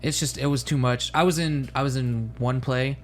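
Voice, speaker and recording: A man's voice: mid-range voice, fairly even intonation, moderate pace, a little hiss or hum. A man co-hosting a podcast, wry and self-mocking in casual chat. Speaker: podcast co-host.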